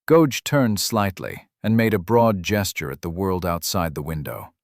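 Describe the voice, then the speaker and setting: A man's voice: low voice, animated, unhurried, dry recording, clean studio sound. A man narrating a scripted fantasy story as an audiobook narrator.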